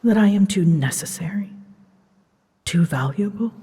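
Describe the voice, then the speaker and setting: A woman voicing a proud, scolding female dragon who rules seas and storms, imperious and theatrical with hushed, breathy phrases, in a scripted ASMR audio drama. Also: mid-range voice, lively intonation, unhurried, long reverb tail. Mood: stern, imperious.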